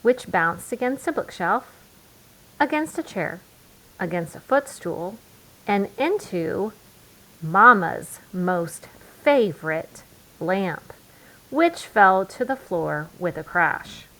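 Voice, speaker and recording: Slow-paced woman reading a storybook. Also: mid-range voice, expressive, fairly bright tone, rough recording. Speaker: children's book reader. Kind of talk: storybook read-aloud narration.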